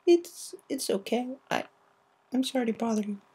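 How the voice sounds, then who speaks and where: A woman's voice: a little high, lively intonation, normal speed, warm tone, slightly rough, pitched above the performer's own voice, heavily acted. A woman voicing a shy, nervous young Pegasus filly who stammers, hesitates and sounds apologetic. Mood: sad, apologetic.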